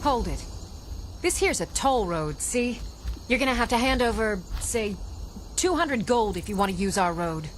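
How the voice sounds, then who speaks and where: An actress voicing a brazen, folksy female highway robber in a video game, over noisy outdoor ambience. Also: animated, normal speed, close to an everyday voice. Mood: demanding, threatening. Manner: folksy, shakedown talk.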